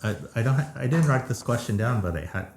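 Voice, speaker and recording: A man hosting a casual podcast interview, asking friendly questions in a halting way, often restarting. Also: mid-range voice, animated, slight background noise. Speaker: podcast host.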